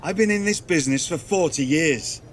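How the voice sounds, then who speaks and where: A man's voice: fairly high pitch, moderate pace, close to an everyday voice. An actor playing a seasoned older wrestling official with a wry, showman-like manner in a video game. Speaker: wrestling show official and ring introducer. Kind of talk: scripted game dialogue.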